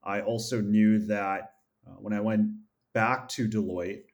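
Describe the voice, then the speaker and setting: Low-voiced man, measured and earnest. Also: fairly even intonation, darkish tone. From upstate New York. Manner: measured and earnest, with frequent uh fillers. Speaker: podcast guest, MBA graduate and founder. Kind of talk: reflective interview answers.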